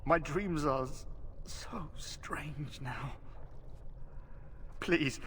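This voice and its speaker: Slow, weepy broken knight. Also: male voice, fairly high pitch, fairly bright tone, a little hiss or hum. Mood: fragile pleading. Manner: halting, weepy, pleading. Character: broken, confused, tormented imprisoned knight. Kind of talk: dramatic video game acting. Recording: music behind the voice.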